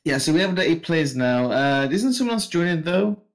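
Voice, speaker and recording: A man's voice: fairly high pitch, expressive, a little fast. A man organizing a community game night, explaining the rules over voice chat in an easygoing way. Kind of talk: casual voice chat.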